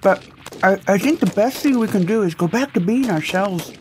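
A woman acting a defiant, fretful rebel woman in a sci-fi audio drama. Her voice sits at an ordinary female pitch, with faint noise underneath. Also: animated, moderate pace, darkish tone, lightly acted. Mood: earnest.

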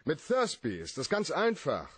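A man's voice: fairly high pitch, huge pitch swings, normal speed, slightly rough, heavily acted. A man playing a cool, cynical private detective in a German radio play, brash, mocking and self-assured. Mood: persuasive, assured.

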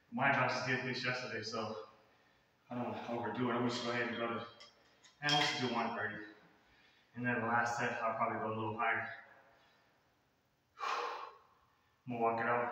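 A man in his thirties talks to the camera between sets, relaxed and often half-whispering to himself. He is picked up from far across a large empty gym, so the sound is distant and very echoey, with a long reverb tail and a faint hiss behind him. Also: medium pitch, fairly quick, pauses often. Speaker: fitness vlogger. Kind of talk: casual workout vlog, talking to camera between sets. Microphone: camera far across the room.

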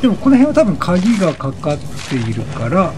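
A man giving casual, excitable let's play commentary, chatty and reactive, often repeating short phrases. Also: sits high, fairly quick. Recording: game music behind the voice. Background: video game music.

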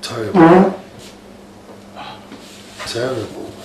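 A man's voice: medium pitch, lively intonation, darkish tone, a little hiss or hum. A Nigerian man acting an agitated husband in a domestic film drama, emphatic and repeating himself. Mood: frustrated. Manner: emphatic, repetitive, deliberate. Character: agitated, dramatic husband worried for his brother.

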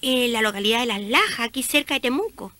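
A woman's voice: on the high side, animated, quick pace, bright, forceful tone, dry recording. A woman playing a pious, friendly small-town neighbour in a radio drama, chatting and confiding news expressively. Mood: chatty.

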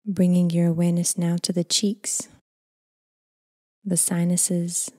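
Female meditation guide, breathy near-whisper, calm unhurried delivery, very dry close recording. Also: lowish voice, no echo. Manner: gentle, unhurried, calming delivery with long phrases trailing off. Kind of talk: guided body scan meditation, slow and soothing.

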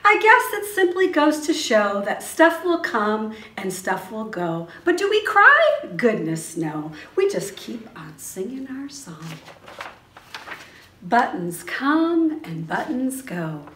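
Animated, high-voiced woman reading to preschoolers. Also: takes it slowly, slight background noise. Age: middle-aged. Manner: sing-song, expressive, exclamatory delivery for young children. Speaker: preschool director and teacher reading to children. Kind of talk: animated picture-book read-aloud for preschoolers. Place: small indoor preschool classroom. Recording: roomy indoor echo.

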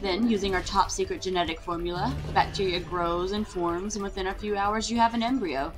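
A composed female paleontologist, played by a woman in a sci-fi audio drama. She sounds formal and proud, mid-pitched, with clear music underneath. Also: fairly even intonation, brisk, natural voice. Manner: formal, explanatory. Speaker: actress in a fan audio drama. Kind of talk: full-cast audio drama acting.

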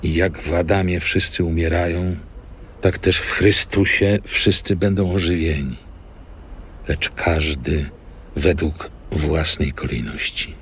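Very deep, slow-reading man. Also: steady tone, a bit bright, slight background noise. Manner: measured, deliberate phrasing. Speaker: scripture reader. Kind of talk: solemn scripted scripture narration. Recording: music behind studio narration.

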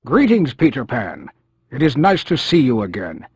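Big kindly chief for a cartoon game, stately, raspy as anything, pitch moves a lot. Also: male voice, huge pitch swings, dry recording, full character voice. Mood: solemn. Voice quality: husky. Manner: stately, formal, measured phrasing. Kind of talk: cartoon video game acting. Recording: dull, lo-fi game audio.

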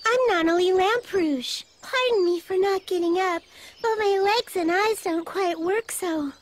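A very high-voiced gentle little girl. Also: a little slow, slight background noise. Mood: gentle, sweet. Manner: soft, polite, sweet. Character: gentle, polite, frail young girl, little sister. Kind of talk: anime audio drama acting.

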